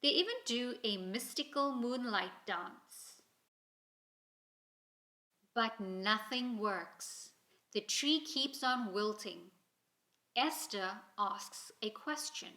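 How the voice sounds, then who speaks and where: An echoey room carries this woman's storybook narration. Her voice is fairly high and bright, and she reads expressively and deliberately for young listeners. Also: expressive. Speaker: storybook reader. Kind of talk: children's story narration, read aloud. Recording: roomy, echoing indoor sound.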